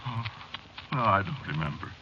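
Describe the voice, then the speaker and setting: An actor playing a frightened, unraveling man in an old-time radio horror drama, halting and pleading, as if haunted. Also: deep voice, lively intonation, pushed and bright, exaggerated voice. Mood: confused, scared. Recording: noisy, muffled old radio drama recording.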